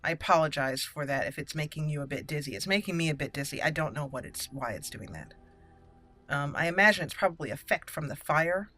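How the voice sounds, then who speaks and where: A woman giving chatty gameplay commentary as host, slipping now and then into measured in-character roleplay speech. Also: low-pitched, lively intonation, pushed and bright. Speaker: let's play video host. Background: game music.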